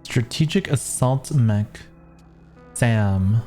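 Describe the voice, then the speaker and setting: A game streamer with a mellow, mid-pitched voice. The delivery is casual and offhand, switching between murmured reactions and dialogue read aloud. Faint music plays behind. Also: extremely slow.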